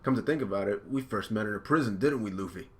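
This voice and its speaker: A calm, loyal fishman warrior speaks in a steady, measured voice, as a man reads him from a comic. His tone stays low and level. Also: close to an everyday voice.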